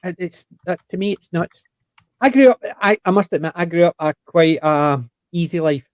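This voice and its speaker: A man's voice: high voice, a little mellow, no room sound, rough recording. A man, chatting and telling stories with quoted asides in a casual panel conversation.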